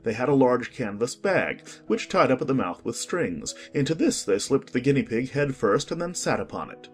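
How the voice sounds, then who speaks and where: Expressive man narrating a storybook. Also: medium pitch, moderate pace, soft background music. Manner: lively, expressive reading.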